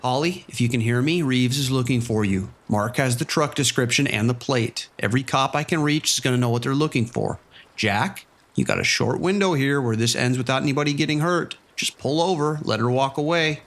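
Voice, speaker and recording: A steady, mid-pitched male voice gives firm orders as a police sergeant negotiating over the phone, played by a man. He speaks in a commanding, no-nonsense way in this audio drama. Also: a little fast. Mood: stern.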